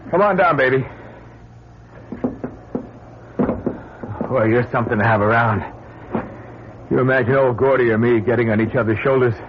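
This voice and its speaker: A man's voice: mid-range voice, fast talker, close to an everyday voice. A man playing a hot-tempered, blunt space crewman with a slangy, folksy way of talking in an old-time radio drama. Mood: game, relieved. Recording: muffled radio recording, clear background noise.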